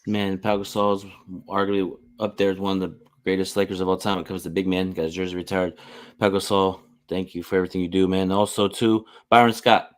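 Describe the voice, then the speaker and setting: A man's voice: low-pitched, little pitch movement, brisk. A man hosting a sports podcast in a casual interviewing style.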